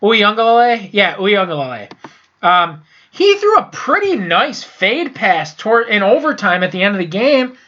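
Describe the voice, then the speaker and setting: Congested, foul-mouthed young man with a bright voice. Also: high-pitched, big pitch swings, moderate pace, muffled recording. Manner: profane, blunt and rambling, with frequent restarts. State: congested with a sinus infection. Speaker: sports podcast host. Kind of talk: casual solo sports recap.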